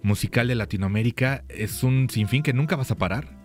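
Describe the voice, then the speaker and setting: He is a man co-hosting a radio show, and his voice sits at an ordinary pitch for a man. He chimes in with teasing remarks and reads listeners' messages aloud. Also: fairly quick, faint music behind. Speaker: radio host. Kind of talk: radio interview.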